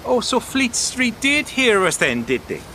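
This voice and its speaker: An actor playing a wry, proud Irish writer and wartime stretcher bearer in an audio drama, sardonic and pointed, with an Irish accent. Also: male voice, very high voice, very animated, a little slow, sharp tone, slight background noise, full character voice. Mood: sober, wry.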